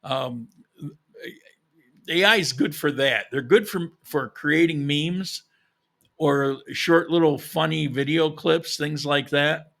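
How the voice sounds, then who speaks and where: A gravelly, bright-toned man talks to his viewers in a rambling, opinionated way. He restarts often, and he is short of breath with a voice that keeps giving out. His pitch sits a little high for a man. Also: slow pace. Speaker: vlogger. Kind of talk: casual chat.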